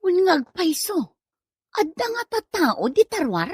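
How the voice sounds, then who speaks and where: A woman's voice: very high-pitched, wildly swooping pitch, a little slow, no room sound, studio quality, full character voice. A woman playing a bossy, curious, teasing village wife in Ilocano comedy drama, with an Ilocano accent.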